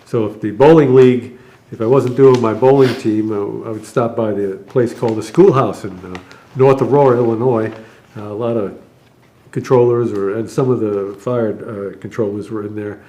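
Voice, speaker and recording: A man's voice: fairly low pitch, steady tone, moderate pace, warm tone, low-quality recording. An older man, a retired air traffic controller, casually chatting and telling a meandering anecdote as a podcast co-host.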